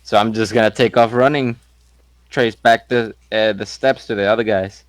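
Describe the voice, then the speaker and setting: A man's voice: fairly low pitch, fairly even intonation, normal speed, no room sound. A man playing a tabletop role-playing game, chatting casually and speaking for his character. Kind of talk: casual chat and in-character play.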